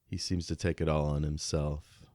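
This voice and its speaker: A man's voice: rumbling low, little pitch movement, moderate pace, darkish tone, no echo, clean studio sound. A man co-hosting a podcast, musing casually about a book as he works through his notes and poses questions. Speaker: podcast co-host.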